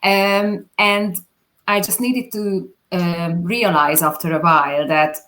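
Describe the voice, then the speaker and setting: A woman's voice: lowish voice, steady tone, takes it slowly, fairly bright tone. A woman with a Hungarian accent, a non-native English speaker, answering interview questions hesitantly with many fillers. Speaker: guest, videographer.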